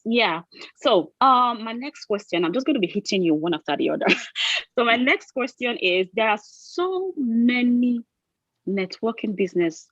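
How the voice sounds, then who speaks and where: A woman's voice: on the high side, a bit bright, no room sound. Woman, interview host, praising, long questions, dry dull audio.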